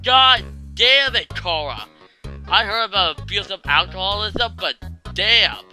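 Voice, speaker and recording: A man's voice: high voice, expressive, unhurried, pushed and bright, faint music behind. A man hosting an online video, giving casual fan-theory commentary in a jokey, sweary way.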